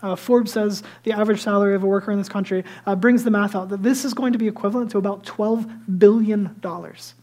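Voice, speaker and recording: Male pastor, high light voice, lively conversational sermon, hushed asides. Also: fast, few pauses. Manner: lively storytelling that drops to hushed, near-whispered asides; self-deprecating and conversational.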